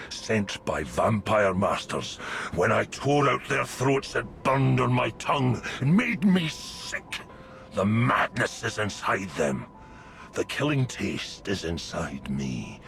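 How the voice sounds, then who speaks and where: Slow, rumbling old werewolf. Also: male voice, sing-song, fairly bright tone, a little hiss or hum, full character voice. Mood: anguished, fierce. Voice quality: gravelly, rumbling, breathy. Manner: slow, ominous, archaic poetic phrasing. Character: old, weary lone male werewolf, grim and feral. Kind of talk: video game dramatic monologue.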